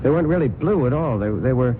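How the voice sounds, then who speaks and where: An actor playing an eager pleading reporter. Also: male voice, medium pitch, fast talker, a little hiss or hum, lightly acted. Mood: earnest. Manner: earnest, pleading, lively. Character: eager young male newspaper reporter. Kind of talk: 1970s radio drama acting. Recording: tinny lo-fi old radio broadcast.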